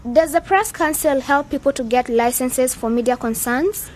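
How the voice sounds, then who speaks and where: A young woman student in a radio drama, played by an actress, with a high voice. She is level-headed and persuasive, reasoning with her friends in scripted dialogue. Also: moderate pace, a little hiss or hum, close to an everyday voice. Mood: earnest, persuasive. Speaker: actor in an educational radio drama.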